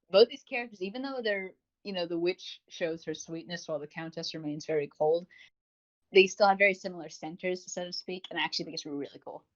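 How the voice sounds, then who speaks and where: A woman co-hosting a podcast in a casual review chat, rambling along with enthusiasm. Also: lowish voice. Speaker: podcast co-host. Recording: dull lo-fi audio.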